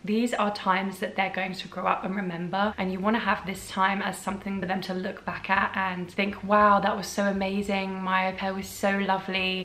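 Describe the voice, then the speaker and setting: A woman's voice: medium pitch, fairly bright tone, reverberant. A British woman in her twenties giving chatty, friendly advice to the camera in a casual vlog. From England. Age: 20s. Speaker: vlogger.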